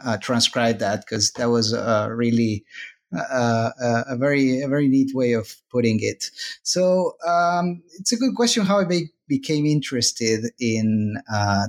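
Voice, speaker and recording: Slow, hesitant man. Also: mid-range voice. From Argentina. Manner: hesitant, many uhs and restarts. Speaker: guest author, sociology professor. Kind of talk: interview answers.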